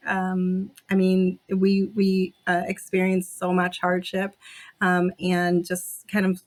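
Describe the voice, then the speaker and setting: A woman's voice: lowish voice, completely flat delivery, slow pace. Reflective woman from Jamaica. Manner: reflective, many fillers and restarts. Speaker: podcast guest. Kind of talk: casual interview conversation.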